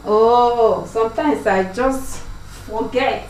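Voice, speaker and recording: Young Nigerian-accented woman, cooking vlogger; slow, chatty delivery, recorded on camera in an echoey kitchen. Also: a little high, darkish tone. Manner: chatty, talks to viewers as she works. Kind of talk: casual cooking demonstration. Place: home kitchen, indoors. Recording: echoey kitchen with clear background noise.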